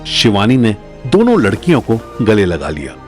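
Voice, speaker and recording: A man's voice: on the low side, huge pitch swings, normal speed, soft background music. A man narrating a scripted audio drama as a storyteller, in a dramatic style.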